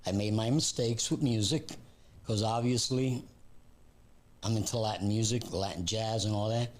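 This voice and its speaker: An older man in his seventies with a lowish voice answers interview questions in an easygoing way. He speaks plainly and pokes fun at himself now and then. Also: moderate pace. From Puerto Rico, raised in New York. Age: 70s. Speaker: guest, business founder.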